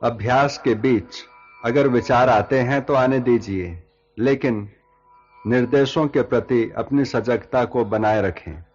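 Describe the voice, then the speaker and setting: A man leading a guided yoga nidra relaxation as instructor, giving measured instructions in short phrases with long pauses. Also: medium pitch, a little mellow, dull audio. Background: background music.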